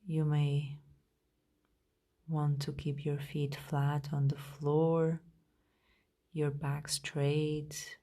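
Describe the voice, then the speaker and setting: A woman leading a guided meditation in a gentle, soothing, unhurried way with long pauses, her voice soft and at times breathy. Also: low-pitched. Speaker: meditation guide, podcast host.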